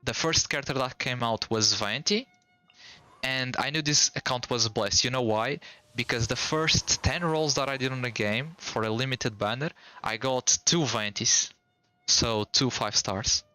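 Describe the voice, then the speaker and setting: Bright, chatty streamer with a Portuguese accent. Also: male voice, mid-range voice, lively intonation, measured pace, no echo, faint music behind. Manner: chatty, rambling, slangy, frequent restarts. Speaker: game streamer. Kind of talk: casual stream chat with viewers.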